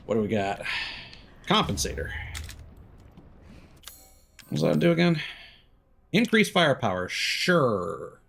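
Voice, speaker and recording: A man's voice: mid-range voice, very animated, slow, creaky, long pauses, a bit bright, a little hiss or hum. A man streaming a game with casual commentary, thinking aloud as he plays.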